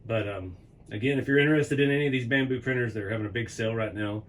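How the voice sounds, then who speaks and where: A man hosting a hobby vlog from his workshop, casually rambling and chuckling, his voice going hoarse. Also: medium pitch, fast, a little hiss or hum. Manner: relaxed, rambling, chuckles often. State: losing his voice. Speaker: hobby channel host. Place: home workshop.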